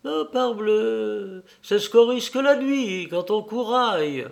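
A bitter middle-aged mother from a storybook drama, as a male reader acts her out. She is scornful and full of self-pity, scolding her son in a raised pitch. The delivery is theatrical and weighty. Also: takes it slowly.

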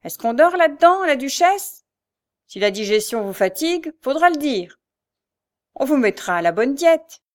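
A woman's voice: sits high, sing-song, moderate pace, hardly any pauses, voice pushed up. A woman voicing a vicious old beggar woman who bullies her son, shrieking and coarse. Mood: furious. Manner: screeching, coarse, snarling.

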